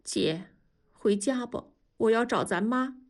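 A woman's voice: on the high side, slow pace. Woman voicing an exasperated wife near forty. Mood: resolute. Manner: pleading, exasperated.

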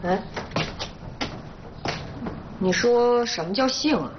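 Blunt, fiery peasant wife, animated voice. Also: female voice, fairly high pitch, takes it slowly, noisy background. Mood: naive, candid. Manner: blunt, earthy, swearing. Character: blunt, fiery, earthy peasant woman, fake official's wife. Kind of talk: naturalistic TV drama acting. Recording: muffled soundtrack under loud music.

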